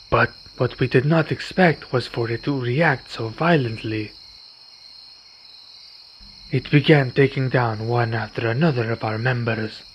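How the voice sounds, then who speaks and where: A man playing a weary, courteous vampire in an ASMR roleplay, speaking in formal, measured phrases. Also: medium pitch, natural voice. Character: weary, courteous male vampire, wounded but calm. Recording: dull recording with night insect noise. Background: crickets and night insects.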